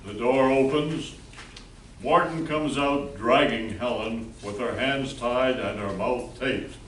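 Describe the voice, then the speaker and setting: A male narrator with a medium-pitched voice tells an amateur play's story slowly and deliberately. He is heard from a distance in a roomy space. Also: fairly bright tone. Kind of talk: scripted narration of amateur play. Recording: roomy, distant mic with background noise.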